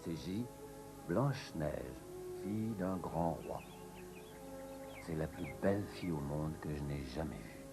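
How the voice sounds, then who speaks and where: A man's voice: very deep voice, a little slow, long pauses, over music. A man playing a noble, earnest young king in a cartoon, with a dramatic and courtly delivery. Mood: tender, solemn. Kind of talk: French-language cartoon dub acting.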